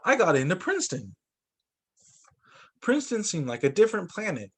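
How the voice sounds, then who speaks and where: A man with a fairly high voice reads a storybook aloud to children. His delivery is expressive and lively, with pitch that moves around a lot, in the manner of a teacher at story time. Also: moderate pace, breathy edge. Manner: animated, acts out dialogue for children.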